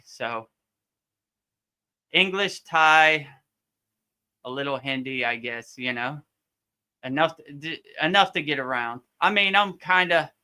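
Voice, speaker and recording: Bright, crisp-voiced man teaching casually. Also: mid-range voice. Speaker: yoga teacher hosting a live Q&A. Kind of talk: casual live Q&A chat.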